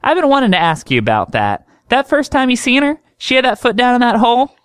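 Man doing kindly country woman doctor, high and gentle, homespun, dry sound. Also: sing-song, brisk, clear, resonant tone, dry recording, heavily acted.

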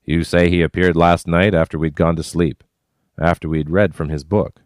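A man's voice: low-pitched, little pitch movement, normal speed, no room sound, clean studio sound, natural voice. A man voicing an easygoing wandering prospector who muses wryly. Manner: wry, musing.

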